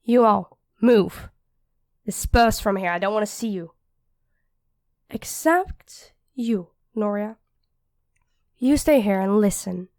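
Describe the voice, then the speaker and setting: A woman's voice: mid-range voice, takes it slowly, pauses often, darkish tone, no echo, clean studio sound. A woman playing a feisty, protective demon queen who is a devoted girlfriend, commanding yet affectionate, in an ASMR roleplay. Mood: stern, commanding.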